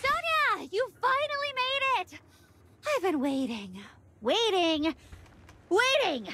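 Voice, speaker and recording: A woman's voice: very high voice, very animated, takes it slowly, pushed and bright, full character voice. An actress playing a deranged, vengeful young woman in a video game, with a theatrical, mocking, sing-song menace. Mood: manic delight. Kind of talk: video game character acting.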